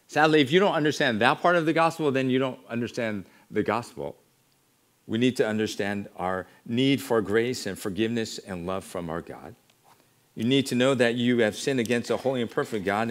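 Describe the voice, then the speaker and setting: A middle-aged American man preaching in a measured, earnest manner, building his exhortation on repeated parallel phrases. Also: fairly low pitch. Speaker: pastor preaching. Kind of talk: sermon. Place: church sanctuary, at a wooden pulpit.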